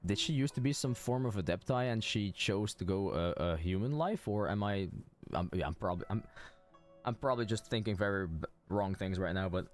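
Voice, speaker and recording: A man's voice: lowish voice, lively intonation, fairly quick, faint music behind. A man giving casual, wry playthrough commentary as a game streamer, thinking aloud as he goes.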